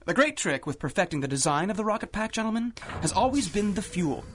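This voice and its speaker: An actor playing a confident, condescending young millionaire inventor, suave and grandiose, in a 1930s-style radio drama. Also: male voice, sits high, big pitch swings, fast, a bit bright, a little hiss or hum. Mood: showmanlike.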